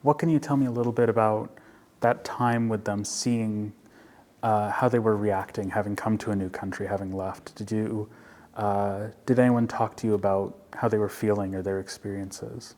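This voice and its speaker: A man's voice: on the low side, steady tone, normal speed, darkish tone. A man hosting an interview, giving an earnest, formal introduction and then asking questions. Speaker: interview host.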